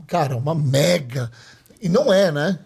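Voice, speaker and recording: A man co-hosting a podcast who talks in a lively, slangy way and cuts in often. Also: on the high side, a little slow, creaky, husky. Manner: animated, slangy, interjecting. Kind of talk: casual podcast chat.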